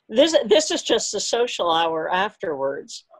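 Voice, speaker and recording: A woman's voice: mid-range voice, poor audio. A woman chatting casually and jokingly with fellow club members on a video call, often laughing. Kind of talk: casual group chat. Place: indoors at home, video call.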